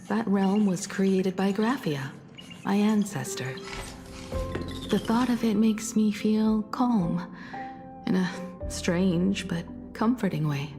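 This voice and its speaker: A woman voicing a composed, gentle, dutiful noblewoman in a video game, formal and measured, over music. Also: medium pitch, close to an everyday voice.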